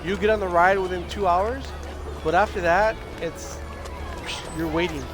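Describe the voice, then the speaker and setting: A high-pitched man streams a loose, rambling chat, his voice scratchy and nearly gone. He restarts his sentences often as he talks. Game music plays clearly behind him. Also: normal speed. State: hoarse, losing his voice. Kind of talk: casual livestream chat. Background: video game music.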